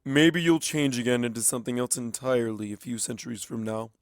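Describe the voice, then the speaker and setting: An affectionate pony wizard as a male narrator plays him, talking in a casual, halting way at a middle pitch. He is gentle and a little awkward, a storybook figure recorded dry and close. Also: no room sound, lightly acted. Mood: loving.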